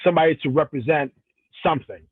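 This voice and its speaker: A man's voice: a little high, quick pace, husky, dry recording, narrow, tinny audio. A professional wrestler from Cameroon, a man answering interview questions in a casual, rambling way with frequent restarts. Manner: rambling, many restarts and self-corrections.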